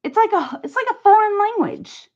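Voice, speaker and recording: A woman's voice: very high voice, expressive, normal speed, a little mellow. A woman with a northern US accent chatting casually on a livestream, rambling and laughing often. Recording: dull lo-fi stream audio.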